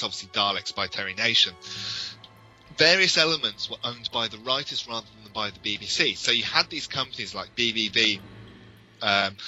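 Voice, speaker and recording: A man's voice: on the low side, bright, forceful tone, rough recording. A man co-hosting a podcast, explaining things in detail in a casual, discursive fan-discussion style. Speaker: podcast co-host.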